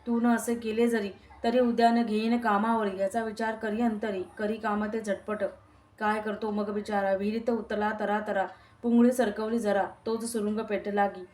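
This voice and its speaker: Woman reciting devotional verse in an even tone. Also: mid-range voice, normal speed. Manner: steady sing-song verse recitation.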